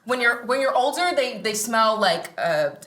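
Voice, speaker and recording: A woman's voice: on the high side, animated, measured pace, a bit bright. A woman playing a sarcastic, picky teenage girl in a sitcom read on stage. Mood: flustered. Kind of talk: sitcom table read before live audience. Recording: roomy stage sound.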